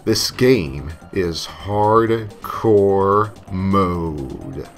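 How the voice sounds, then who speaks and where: A man's voice: low-pitched, extremely slow. A man reading a scripted story as narrator in a tongue-in-cheek way, sometimes hamming up his lines. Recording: music playing behind the voice. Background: novelty songs and game music.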